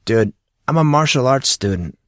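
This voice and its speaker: A man's voice: medium pitch, animated, normal speed, a bit bright, no echo, clean studio sound, voice pushed up, natural voice. A man voicing a laid-back teenage boy who is keen on martial arts and talks in casual dude-and-bro slang.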